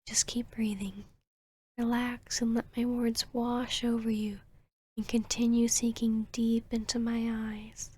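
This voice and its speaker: A woman's voice: a little high, a little mellow, slightly rough. A woman voicing a seductive, arrogant vampiress posing as a therapist in an ASMR roleplay, half-whispering in a soothing, commanding, teasing way. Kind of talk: ASMR roleplay, hypnotic guided induction.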